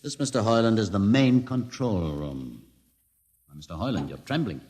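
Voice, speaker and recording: An actor playing a shrewd, sardonic mutant leader in a 1950s radio drama, suave and coolly commanding. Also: male voice, lowish voice, animated, moderate pace, darkish tone, in a large hall, lightly acted. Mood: condescending.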